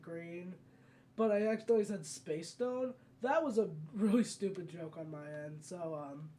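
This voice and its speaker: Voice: medium pitch, warm tone, a little hiss or hum. A gamer hosting a casual let's play, rambling along and often correcting themselves mid-sentence. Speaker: gaming video host. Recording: game music behind the voice. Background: video game music.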